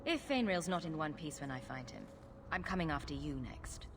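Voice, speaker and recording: A woman's voice: sits low, very animated, a little fast, noisy background. An actress playing a sardonic, bold young woman adventurer in a video game, with a wry, flippant manner. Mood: cool, firm.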